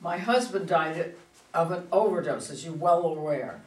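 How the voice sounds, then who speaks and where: A woman's voice: on the low side, normal speed, lightly acted. A woman playing a proud, combative older female politician in a play reading, with an indignant, emphatic delivery. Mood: offended. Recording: echoey room, far from mic.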